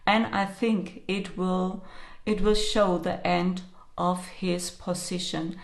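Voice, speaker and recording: Woman, non-native English speaker, slow and hesitant monologue, lowish voice, roomy echo. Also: level tone, creaky. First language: not English. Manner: slow, thoughtful, hesitant with fillers. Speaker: astrologer. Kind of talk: monologue on astrology.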